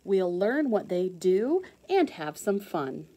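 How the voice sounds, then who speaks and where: A woman teacher reading a children's storybook aloud in an expressive sing-song way with clear emphasis. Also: medium pitch, slow pace, a little hiss or hum.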